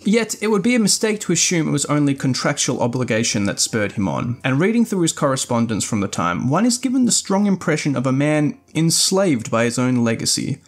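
A man's voice: a little high, sing-song, brisk, hardly any pauses. Man reading a scripted podcast essay. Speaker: podcast host. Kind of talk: scripted narration, essay-like review.

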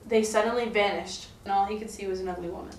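A woman's voice: medium pitch, fairly even intonation, quick pace, distant mic. A young woman narrates a scripted tale in a mid-range voice. She is recorded in an echoey room, a little away from the microphone, with faint noise around her. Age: young adult. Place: indoor room, puppet show recording.

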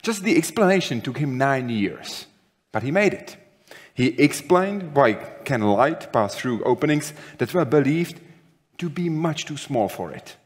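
A man's voice: mid-range voice, animated, a little slow. A young man acting as moderator, introducing a speaker in a dramatic, rehearsed way in a large hall. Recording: long reverb of a large hall.

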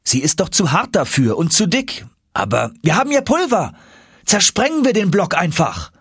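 A man voicing an eager, hot-headed young nephew in an audiobook, excited and exclamatory. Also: sits high, moderate pace, breathy edge, no room sound, clean studio sound, voice pushed up, heavily acted.

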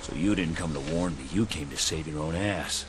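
An actor playing a gruff, blunt, fiercely protective hero in a video game. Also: male voice, a bass voice, brisk, background noise. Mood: bitter. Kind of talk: video game cutscene acting. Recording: very loud music underneath.

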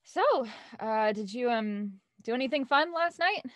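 Anxious young woman, acted by a woman, earnest, probing, fairly high voice, dry sound, naturalistic audio drama. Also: expressive, measured pace, fairly bright tone, no echo. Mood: hesitant, probing. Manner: insistent, hesitant, probing. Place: home, on a video call.

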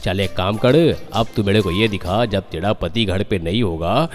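A man's voice: lowish voice, normal speed, big-room sound, soft background music, lightly acted. A man voicing a burly, bossy, scheming strongman hero in a children's cartoon, with a commanding delivery. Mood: showman patter. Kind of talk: Hindi children's cartoon voice acting.

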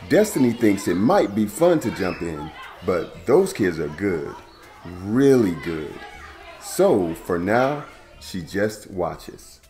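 Deep, animated man reading a picture book. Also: takes it slowly, faint music behind. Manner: animated, playful, stretches words for young listeners. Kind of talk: children's read-aloud. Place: indoor room at home.

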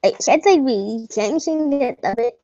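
A young woman talks slowly over her gameplay, her voice a little high and unhurried. She rambles and corrects herself, now and then sinking to a whisper. The home mic sounds dull and lo-fi. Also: lively intonation, warm tone. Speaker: gameplay narrator. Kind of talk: casual game commentary. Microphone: desk microphone.

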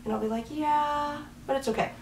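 A woman's voice: high voice, fairly quick, slight background noise. A young woman in her twenties from Washington State, chatting casually to the camera in her bedroom and laughing at herself as she rambles. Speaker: vlogger. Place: small bedroom, indoors, on a bed with a dog. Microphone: camera mic from a few feet away. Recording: roomy, slightly distant camera audio.

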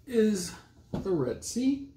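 A man in his thirties chatting about books as a vlog co-host, in playful, halting short phrases, recorded in an echoey room at home. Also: sits high, very animated, mellow. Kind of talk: casual book review chat. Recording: echoey room with background noise.

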